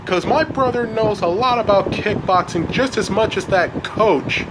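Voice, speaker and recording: A man's voice: a little high, little pitch movement, very slow, heavy background noise. A man voicing an angry, defiant schoolboy kickboxer in a story, indignant and insistent, repeating his phrases.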